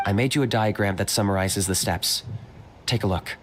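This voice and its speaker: An actor playing a cool, blunt young warrior guide in an anime video game, matter-of-fact and instructive. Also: male voice, on the low side, moderate pace, noisy background, natural voice. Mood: calm, instructive.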